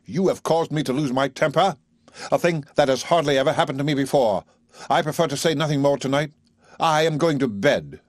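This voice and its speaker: A man's voice: a little high, fairly quick, no room sound, clean studio sound, pitched above the performer's own voice, natural voice. A man voicing an irascible, blustering male professor who erupts into indignant outbursts. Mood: agitated.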